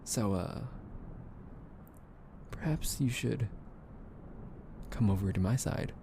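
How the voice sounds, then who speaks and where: A young man in a soft ASMR roleplay, gently soothing and teasing the listener with soft laughs, hesitant fillers and the odd whispered aside. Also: fairly low pitch, slow pace, vocal fry, noisy background.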